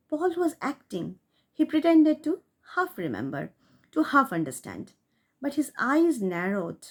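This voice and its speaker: A woman reading a short story aloud in a measured, expressive narration. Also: a little high. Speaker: audiobook reader.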